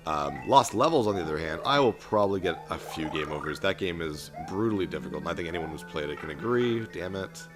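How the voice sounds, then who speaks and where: Low monotone young man over game music. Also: quick pace, hardly any pauses. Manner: self-described monotone, rambling with filler and restarts. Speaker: let's play host. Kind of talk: casual gameplay commentary. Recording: game music playing behind voice.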